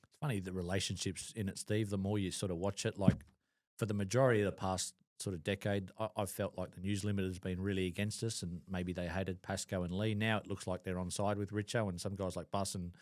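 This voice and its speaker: Quick low-voiced man hosting a podcast. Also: little pitch movement, a little mellow. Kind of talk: casual sports podcast chat.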